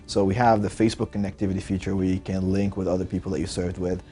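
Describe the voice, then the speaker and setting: A man's voice: low-pitched, little pitch movement, fast talker, warm tone, faint music behind. A young man, a startup founder giving earnest interview answers with frequent fillers. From Lebanon.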